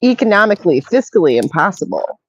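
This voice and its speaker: A woman's voice: fairly low pitch, very animated, fast, breathy edge, rough recording. A middle-aged woman hosting a casual call, chatty and tired from being sick, reading chat messages aloud. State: tired, recovering from illness. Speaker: channel host.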